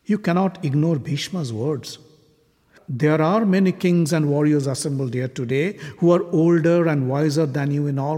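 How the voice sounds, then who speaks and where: A man voicing a calm, polite and righteous young king in a retold legend. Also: a little high, pitched above the performer's own voice. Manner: calm and measured. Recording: long hall reverb.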